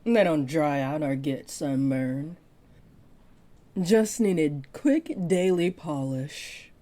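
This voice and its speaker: A woman's voice: sits low, slow pace, mellow. A woman voicing a flirty, possessive half-dragon librarian in a roleplay audio, speaking in a coy, playful drawl. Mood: chatty, gently flirty. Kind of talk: roleplay audio acting addressed to the listener.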